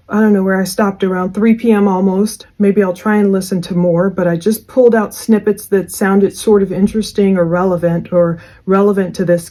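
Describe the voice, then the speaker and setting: A woman's voice: medium pitch, a little mellow. Woman, mid-range voice, informal case commentary, rambling, earnest, online host. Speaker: true crime channel host.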